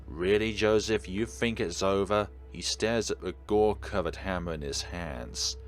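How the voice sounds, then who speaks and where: Low voiced guy doing a lets play, reads the story out n makes sarcastic comments, faint music behind. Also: normal speed. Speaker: let's player. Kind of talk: reading a visual novel aloud with casual commentary. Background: game music under the voice.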